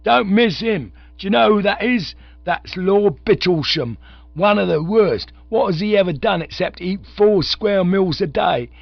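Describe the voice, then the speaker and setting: A man voicing a bearded street-corner agitator who rants and shouts scornful abuse at passers-by. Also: high voice, expressive, measured pace, gravelly, no room sound, voice pushed up, exaggerated voice. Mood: ranting. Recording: dull lo-fi dry recording.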